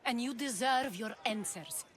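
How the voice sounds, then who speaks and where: A woman's voice: a little high, measured pace, a little hiss or hum, close to an everyday voice. An actress playing a proud, shrewd woman of influence who speaks with assured, dramatic delivery in a video game cutscene. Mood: grateful, reflective. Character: commanding, shrewd, proud female Corinthian power broker.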